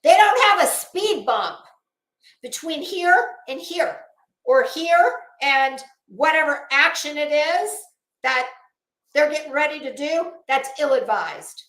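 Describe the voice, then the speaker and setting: High-pitched, blunt woman livestreamer. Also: animated, a bit bright, reverberant, low-quality recording. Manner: blunt, scornful, emphatic, with deliberate repetition. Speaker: livestream host. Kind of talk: opinionated livestream commentary to viewers.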